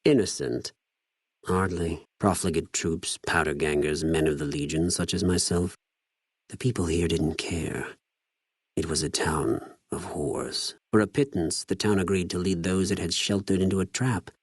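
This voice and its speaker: An actor playing a cold, zealous, contemptuous legion spy in a video game, speaking formally with measured condescension. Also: male voice, low-pitched, fairly even intonation, slightly rough, dry recording, clean studio sound. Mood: contemptuous menace. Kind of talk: scripted video game character dialogue.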